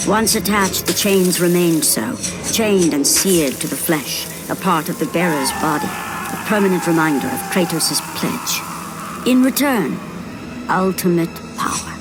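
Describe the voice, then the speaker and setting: An actress playing a grave, all-knowing narrator of a Greek myth in a video game, solemn and theatrical. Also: female voice, on the low side. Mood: ominous, intense. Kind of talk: dramatic scripted narration of a mythic game story. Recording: loud music behind the voice.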